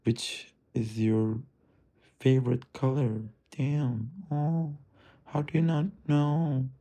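Guy rambling to himself, dark mellow voice, lotta fry, cursing and trailing off. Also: mid-range voice, fairly even intonation, takes it slowly. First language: Turkish. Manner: musing, drifting, profane, softly trailing off. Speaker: streamer. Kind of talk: rambling self-talk monologue.

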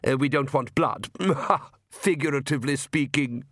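A man voicing a smug male vampire count, suave and genially measured, in an audiobook. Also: medium pitch, fairly bright tone, no room sound, studio quality, pitched above the performer's own voice. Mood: genial menace.

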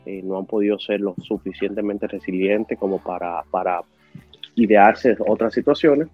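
A man speaking as a guest expert on a panel, reflecting in a measured way. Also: lowish voice, soft background music. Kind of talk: casual panel discussion. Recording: tinny phone-like line.

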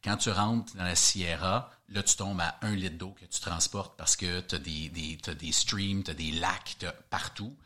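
A man with a Québécois French accent, chatting as an interview guest and telling a story, animated, often repeating words as he searches for them. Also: deep voice, a little slow, hardly any pauses. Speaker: guest hiker.

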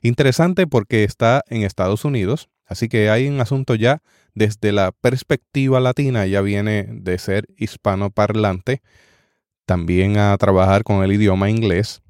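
A man hosting a podcast, calmly and formally introducing a guest speaker. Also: fairly low pitch, normal speed, no echo. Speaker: podcast host. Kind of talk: spoken introduction. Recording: dry close recording.

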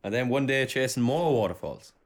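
A man co-hosting a casual podcast chat, wry and joking, with quick comebacks and free swearing. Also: medium pitch, lively intonation. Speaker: podcast co-host.

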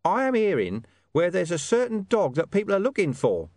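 A man's voice: high-pitched, huge pitch swings, fairly quick, darkish tone, no echo, voice pushed up, full character voice. A man voicing a shady, streetwise talking dog who poses as a mysterious informant in an audiobook story. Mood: sly, conspiratorial. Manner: streetwise, evasive, menacing slang.

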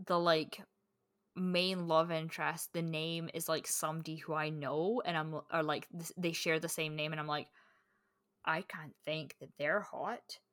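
Bright-voiced woman chatting casually, dry recording. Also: sits low, fairly even intonation, unhurried, no echo. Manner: candid, sweary, self-interrupting. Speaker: podcast co-host. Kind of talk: casual book chat.

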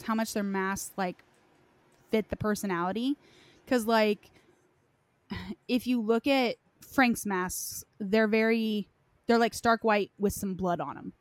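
A woman in casual, informal conversation, speaking loosely and conversationally as she shares her opinions. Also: mid-range voice. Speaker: podcast co-discussant on game lore. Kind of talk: casual chat.

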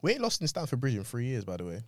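A man's voice: mid-range voice, wildly swooping pitch, racing, no room sound. A man co-hosting a football podcast, boastful, insistent and slangy. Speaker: podcast co-host. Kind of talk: casual football chat.

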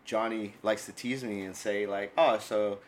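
Young New guy, low voice, animated food-podcast co-host who laughs a lot. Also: normal speed, slight background noise. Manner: animated storyteller, laughs often, gets loud when excited. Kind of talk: casual chat.

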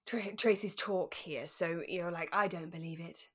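Woman, lowish pitch, casual podcast chat, giggly, talkative. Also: unhurried, crowd noise. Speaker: podcast host. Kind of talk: casual chat reviewing a radio drama.

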